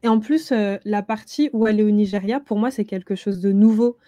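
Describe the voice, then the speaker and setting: Bright-voiced young woman, close dry sound. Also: mid-range voice, fairly quick, dry recording, muffled recording. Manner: informal, colloquial speech. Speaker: book club host. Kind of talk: casual book discussion.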